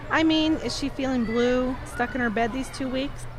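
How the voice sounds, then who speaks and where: A woman playing a kindly old nun schoolteacher in an audio drama, gently probing in a measured, formal way. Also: high voice, background noise, lightly acted.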